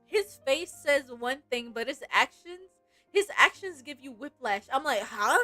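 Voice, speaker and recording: A woman game streamer chatting casually and reacting in an animated, giggly way. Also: high-pitched, a little slow, no room sound, faint music behind. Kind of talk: casual livestream chat with read-aloud game lines.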